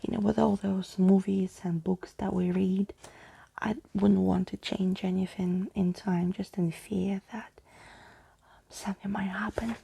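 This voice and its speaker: A woman speaking softly and intimately in an ASMR bedtime storytelling style, as if to one listener, with a breathy, husky, creaky voice. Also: mid-range voice, flat delivery, measured pace. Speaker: ASMR storyteller reading a book aloud. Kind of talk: soft-spoken ASMR bedtime roleplay and reading.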